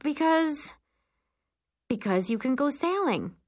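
An eager young child's mother, played by a woman narrator, raised in pitch and full of coaxing. She speaks in an animated, persuading way, as in an audiobook. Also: slow pace, dry recording, clean studio sound, exaggerated voice. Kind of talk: audiobook character voice.

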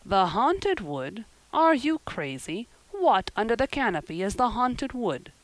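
A woman voicing a stern older guardian in a storybook, with a brisk, blunt, scolding manner and dry sarcasm. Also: lowish voice, big pitch swings, no room sound. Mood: exasperated, scolding.

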